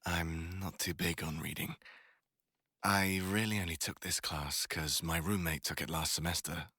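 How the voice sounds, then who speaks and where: A man acts out a young college crush with a very low, bright voice that is a little rough at the edges. He talks in a casual, slangy, sweary way, teasing the listener and griping about poetry like a bored student in a boyfriend roleplay. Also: no echo, clean studio sound, exaggerated voice. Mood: grumbling, bored. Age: young adult.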